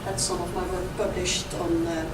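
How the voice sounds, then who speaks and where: A woman's voice: on the low side, level tone, moderate pace. A woman with a Dutch accent, raised in the Caribbean, giving an artist talk with a hesitant, filler-heavy manner. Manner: hesitant, lots of ums and uhs. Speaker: visiting artist. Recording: echoey room from far off with noise.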